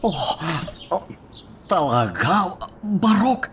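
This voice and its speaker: An actor playing a halting, hesitant uncle in a radio drama, speaking very slowly with a lively rise and fall. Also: male voice, high voice, noisy background, heavily acted. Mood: worried. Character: older man, uncle.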